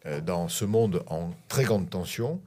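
Very deep hesitant French man. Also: expressive, measured pace, dark tone. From France. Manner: hesitant, many fillers and restarts. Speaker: guest, school director. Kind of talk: interview on radio.

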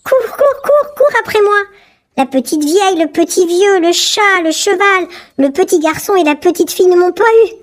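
A woman's voice: extremely high pitch, lively intonation, normal speed, voice pushed up. A woman voicing a cheeky little gingerbread boy in a storybook reading, with a boastful, taunting sing-song manner. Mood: taunting gleeful.

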